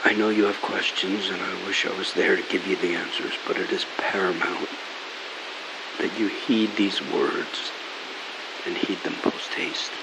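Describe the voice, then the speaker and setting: A man's voice: low voice, fairly even intonation, measured pace, gravelly. An actor playing a dead father leaving a solemn warning to his daughter on a tape message. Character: dead father in a recorded farewell message, grave. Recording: hissy old tape recording.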